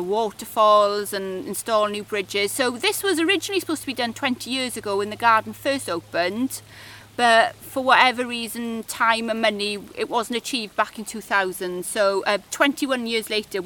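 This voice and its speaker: Welsh woman, 40s, bright tense tone, chatty interview guest. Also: a little high, slight background noise. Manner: chatty, many fillers like "kind of". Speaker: project officer. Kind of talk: casual interview chat.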